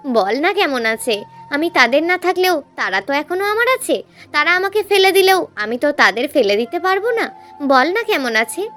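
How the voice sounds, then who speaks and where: A woman's voice: high voice, big pitch swings, normal speed, a bit bright, soft background music. A woman voicing a sorrowful, humble young man cast out from home, plaintive and hesitant in story dialogue. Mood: pleading, homesick.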